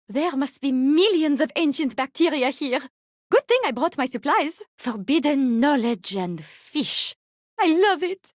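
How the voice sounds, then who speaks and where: An actress playing a giddy, macabre mad-scientist fungus doctor in a video game, theatrical and genteel, with a French accent. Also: female voice, high-pitched, dry recording, studio quality. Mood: relishing, sly. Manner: theatrical, gleefully morbid, genteel wording. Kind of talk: video game hero voice lines.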